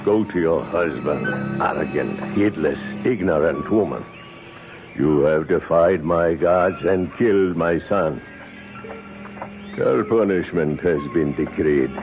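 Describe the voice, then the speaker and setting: An actor playing a grave, vengeful native temple priest and servant in a 1970s radio drama. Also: male voice, low-pitched, takes it slowly, music in the background. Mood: stern, condemning. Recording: muffled, noisy old radio broadcast.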